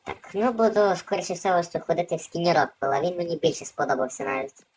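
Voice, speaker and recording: A woman's voice: on the low side, expressive, fast, breathy edge, low-quality recording. A woman hosting a gaming video with casual, off-the-cuff gameplay commentary.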